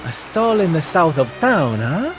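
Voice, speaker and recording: A man's voice: fairly high pitch, very animated, unhurried. A man voicing a flamboyant, theatrical male entertainer who calls people honey and darling, in a video game cutscene. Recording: game audio with loud music behind.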